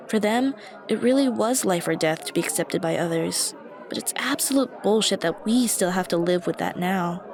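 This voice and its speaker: A woman playing a shy, anxious young stranger who rambles hesitantly and self-consciously to the listener in an ASMR roleplay. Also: medium pitch. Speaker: roleplay voice actress. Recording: busy cafe chatter behind voice. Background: coffee shop crowd murmur.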